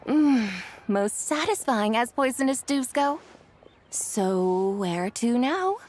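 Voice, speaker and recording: Grand whimsical adeptus lady, high lilting pitch, unhurried, theatrical, game dialogue. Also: lively intonation, darkish tone. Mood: lofty, curious. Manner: theatrical, archaic grand phrasing, measured. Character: whimsical, lofty woman playing at being an immortal adeptus. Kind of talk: video game character acting.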